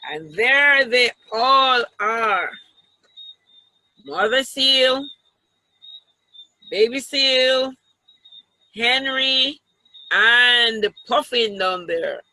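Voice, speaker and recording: Very slow, bright-voiced woman reading aloud. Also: on the high side, dry recording, low-quality recording. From Jamaica. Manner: deliberate, pausing to explain pictures and question children. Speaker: storytime reader, teacher. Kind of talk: reading a picture book aloud to children.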